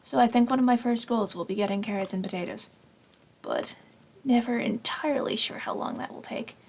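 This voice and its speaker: A woman casually narrating her Minecraft game, rambling and self-deprecating, her voice slightly raspy from long talking. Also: a little high, a little fast. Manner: rambling, self-deprecating, talks to herself while playing. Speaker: gaming channel host. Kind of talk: casual Minecraft let's play commentary.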